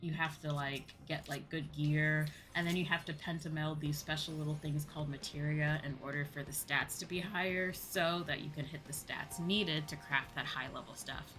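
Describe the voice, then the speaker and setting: A woman's voice: sits low, fairly even intonation, normal speed, few pauses, a bit bright, soft background music. A woman livestreamer chatting casually to her viewers as she plays, informal and rambling, often repeating herself. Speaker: livestreamer and host.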